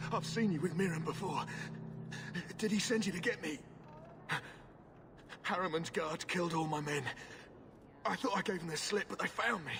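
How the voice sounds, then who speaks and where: Loyal hired man on the run: higher-pitched, gravelly, urgent and defensive, game cutscene. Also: fairly bright tone, a little hiss or hum, exaggerated voice. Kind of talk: video game cutscene acting.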